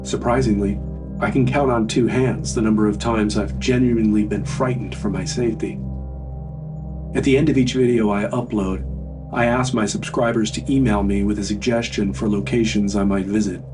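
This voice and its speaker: A man's voice: lowish voice, steady tone, moderate pace. Distant-sounding man narrating over music. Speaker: horror story narrator. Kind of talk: scripted first-person story narration.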